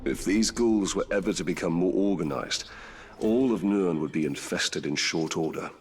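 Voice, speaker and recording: Stoic fantasy ranger for a game, male actor: deep, measured, dryly formal, with clear ambient noise behind. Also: lightly acted. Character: stoic human ranger, grim warrior. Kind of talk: video game cutscene acting.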